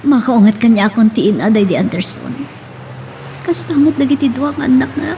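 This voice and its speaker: A woman's voice: on the high side, animated, moderate pace, gravelly, mellow, slight background noise. A woman playing a plaintive, guilt-ridden pregnant migrant worker in an audio drama. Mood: distressed, fearful.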